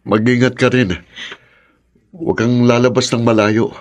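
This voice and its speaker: A man's voice: medium pitch, level tone, measured pace, lightly acted. A man playing an earnest, protective young friend in a Filipino radio drama, speaking in a reassuring way.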